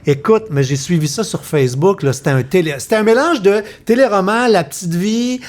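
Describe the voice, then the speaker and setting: A man's voice: high-pitched, brisk, slight background noise. A man with a Québécois accent hosting a podcast, teasing his guest in a jokey interviewing manner. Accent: Québécois French. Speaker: podcast host.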